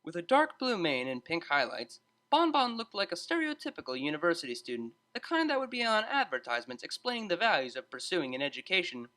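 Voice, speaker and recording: Female storyteller, lowish bright voice, animated scripted narration. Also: a little fast, few pauses.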